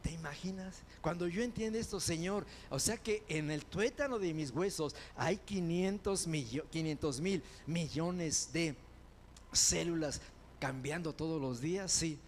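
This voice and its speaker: High-voiced fervent male preacher. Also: a little hiss or hum. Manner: rises to loud fervent proclamations, then drops to quick conversational asides. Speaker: pastor. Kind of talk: sermon.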